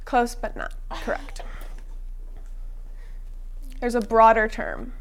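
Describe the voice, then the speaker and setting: A woman's voice: a little high, animated, takes it slowly. Young woman hosting a student game show. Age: young adult. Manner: upbeat, with frequent fillers and restarts. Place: college TV studio.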